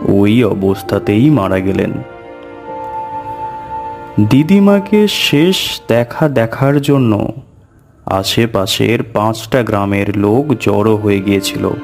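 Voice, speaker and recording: A man with a lowish voice tells a horror tale in the first person. His delivery is slow and deliberate, like someone recounting his own past. Music plays underneath. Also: creaky. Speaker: storyteller narrator voicing a tantric recounting his past. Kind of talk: scripted first-person horror story narration.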